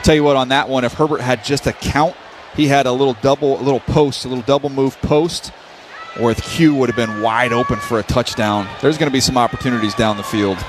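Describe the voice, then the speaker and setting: A man's voice: medium pitch, fairly even intonation, brisk. A man giving conversational color analysis on a live football radio broadcast, with informal, folksy phrasing. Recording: clearly noisy live broadcast ambience.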